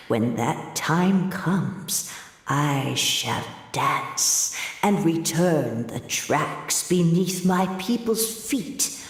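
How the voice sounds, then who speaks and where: A woman's voice: low voice, exaggerated voice. A woman voicing a solemn goddess of prophecy who speaks in grave, oracular tones. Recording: roomy long reverb.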